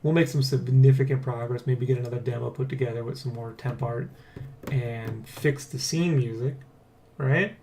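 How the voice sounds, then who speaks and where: A man hosting a development blog in casual, excitable, rambling chat, jokily boastful and swearing freely. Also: mid-range voice, moderate pace, background noise. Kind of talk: casual vlog chat, addressing viewers.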